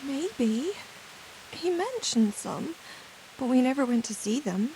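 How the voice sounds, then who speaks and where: An actress playing a resigned, stoic young peasant widow who speaks plainly in a video game cutscene. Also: female voice, sits high, very animated, takes it slowly, warm tone, a little hiss or hum, exaggerated voice, poor audio. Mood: wary, bitter.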